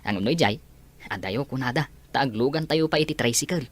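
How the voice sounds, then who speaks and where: A man's voice: mid-range voice, animated, a little fast, natural voice. An actor playing a casual, talkative young male family friend in a Philippine radio drama. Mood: explaining, casual.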